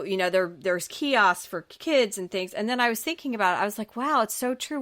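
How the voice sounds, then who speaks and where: A woman's voice: medium pitch, bright, forceful tone. An American woman hosting a live interview in a casual, chatty style. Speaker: show host.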